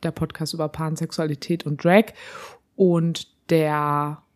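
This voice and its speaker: A slightly hoarse woman co-hosting a podcast in casual chat, laughing often and rambling through restarts. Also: low-pitched, little pitch movement, a little slow. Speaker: podcast co-host.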